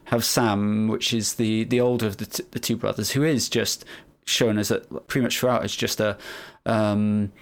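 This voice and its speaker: A man in casual podcast conversation, speaking in a measured, analytical way with frequent repeated starts. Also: on the low side, fairly even intonation. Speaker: podcast co-host discussing anime. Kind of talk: casual chat.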